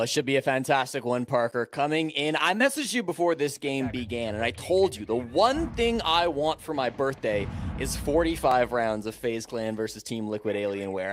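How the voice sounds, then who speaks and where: A man with a Canadian accent calls an esports match in an upbeat, easygoing way. His voice sits at an ordinary middle pitch for a man, and he mixes match analysis with friendly banter. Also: a little hiss or hum. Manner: upbeat, good-humored analysis. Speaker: esports caster. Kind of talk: live esports commentary.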